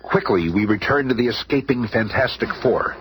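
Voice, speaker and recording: An actor playing a dramatic narrator of a 1970s superhero radio serial. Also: male voice, mid-range voice, moderate pace, close to an everyday voice. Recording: very noisy old radio recording.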